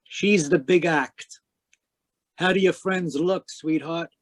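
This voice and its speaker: A man acts out a hard-boiled private eye from an old radio play. His voice sits a little high and is recorded very dry. He talks in terse, clipped lines with a wry, world-weary cynicism. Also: fairly even intonation, slow pace, dry recording. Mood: curt, wry. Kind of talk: 1940s radio detective acting and narration.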